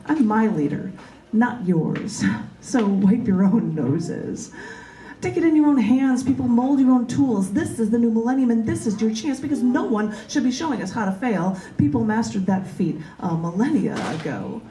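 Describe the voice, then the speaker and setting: A woman's voice: fairly high pitch, lively intonation, moderate pace, dark tone. A woman reading her own poetry live at an open mic in a large indoor bookstore, in a spoken-word style. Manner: emphatic, declamatory, repetitive rhythmic delivery. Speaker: poet reading own work. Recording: roomy, echoing live recording in bookstore.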